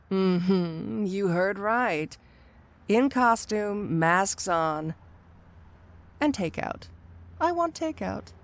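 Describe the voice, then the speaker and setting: A woman's voice: on the low side, creaky, heavily acted. A woman playing a flirty, sly supervillain cat burglar in an intimate ASMR roleplay, with a coy, drawling, playfully condescending delivery.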